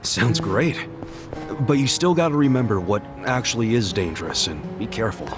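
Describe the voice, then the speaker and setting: An actor playing a scrappy, earnest video game hero who talks in a casual, plain-spoken way. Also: male voice, on the low side, animated, moderate pace, music in the background, natural voice. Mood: easygoing. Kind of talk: naturalistic video game voice acting.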